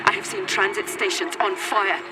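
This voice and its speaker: A man's voice: high voice, fairly even intonation, moderate pace, very noisy. An actor playing a frightened, urgent man sending formal, pleading distress transmissions over radio in a sci-fi game. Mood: grave, urgent. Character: frightened, desperate male radio broadcaster in hiding during invasion.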